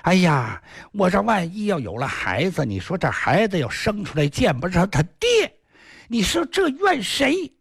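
A shrill, half-crazed young wife wails and scolds her missing husband in a storytelling performance, as a male narrator puts her on. Her pitch climbs high and swings wildly. The voice has a husky grain and is recorded dry and close. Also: wildly swooping pitch, normal speed, dry recording, exaggerated voice. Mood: anxious fretting. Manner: wailing, shrieking complaints. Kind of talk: traditional storytelling.

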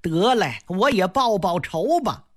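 A woman's voice: mid-range voice, expressive, unhurried. A woman storyteller voicing a wronged young maidservant who complains plaintively. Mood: grumbling.

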